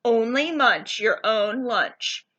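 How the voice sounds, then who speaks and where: Woman voicing an exuberant, high-pitched boy shark. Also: slow pace, highs cut off, heavily acted. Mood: eager, determined. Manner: shouting, excitable, chants rhymes. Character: loud, exuberant, eager young boy shark. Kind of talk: children's storybook character.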